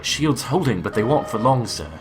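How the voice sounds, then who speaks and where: A man's voice: medium pitch, animated, normal speed, close to an everyday voice. A man playing an analytical, skeptical first officer of a starship in a fan audio drama, with music behind him. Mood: focused, explanatory.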